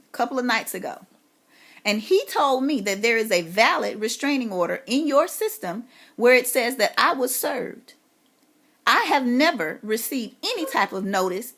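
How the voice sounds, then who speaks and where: Insistent, animated woman with a high voice. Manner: insistent, aggrieved, emphatic. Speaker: caller, parent making a complaint. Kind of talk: phone complaint, long personal account. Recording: phone call recording.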